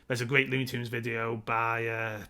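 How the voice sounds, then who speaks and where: A man's voice: medium pitch, flat delivery, normal speed, pushed and bright, clean tone. A man co-hosting a casual film discussion, speaking in an analytical, emphatic way and wandering off into side points. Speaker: podcast co-host.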